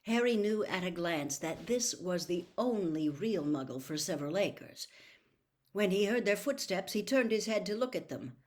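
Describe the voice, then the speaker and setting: A woman narrating a novel as a storybook reader, in a measured, careful and expressive storytelling manner. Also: medium pitch. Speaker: audiobook reader.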